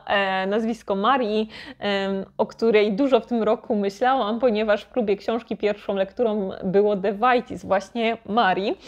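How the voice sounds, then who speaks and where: A woman chatting casually to her viewers as a book vlogger, informal and thinking aloud. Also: mid-range voice.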